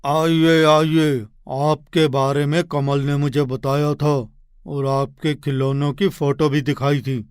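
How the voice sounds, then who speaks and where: A man voicing a welcoming, courteous wealthy businessman in an animated moral story, speaking emphatically. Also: fairly high pitch, takes it slowly, smooth, dry recording, clean studio sound, voice pushed down.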